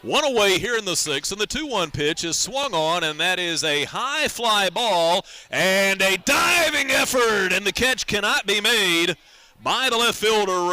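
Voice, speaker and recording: A man's voice: high voice, pushed and bright, dry recording. A man doing live radio baseball play-by-play, describing the action and chatting conversationally.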